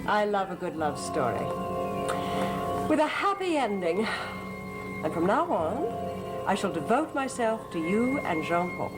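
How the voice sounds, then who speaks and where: A woman's voice: medium pitch, very animated, a little slow, pauses often, low-quality recording. An actress in a gothic soap opera playing a sinister woman who feigns devotion in an insinuating, theatrical way. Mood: sweetly sinister. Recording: loud dramatic music under the dialogue.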